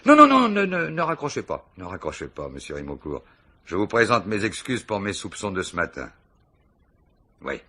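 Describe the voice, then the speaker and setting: An actor playing a wily, self-assured police commissioner in a radio mystery play, talking in a colloquial, teasing way. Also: male voice, fairly low pitch, wildly swooping pitch, normal speed, sharp tone, breathy edge, full character voice. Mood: smooth, bluffing. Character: wily, jovial, bluffing male police commissioner. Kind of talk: French radio mystery drama acting.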